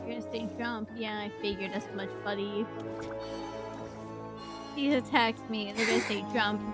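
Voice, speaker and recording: A woman's voice: medium pitch, fairly even intonation, moderate pace. A woman game streamer giving casual live reactions and commentary as she plays. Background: game music.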